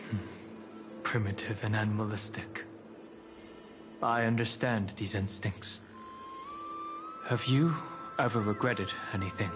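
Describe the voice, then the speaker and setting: A man voices an immortal male dragon scion for a fantasy video game. He is fairly low and slow, with stately, measured phrasing and steady resolve. Music plays clearly behind him. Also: close to an everyday voice. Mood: cool, probing. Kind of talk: scripted video game voice acting.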